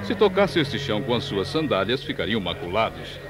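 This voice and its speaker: An actor playing an earnest, cautious Roman adviser explaining matters in a religious drama. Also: male voice, lowish voice, very animated, brisk, noisy background. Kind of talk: religious radio drama acting. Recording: music behind the voice.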